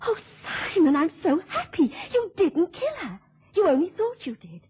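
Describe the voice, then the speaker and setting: A woman's voice: very high-pitched, wildly swooping pitch, normal speed, full character voice. An actress playing an anxious, devoted woman in love, with emotional pleading in an old radio drama. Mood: overjoyed relief. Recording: dull, lo-fi old radio recording.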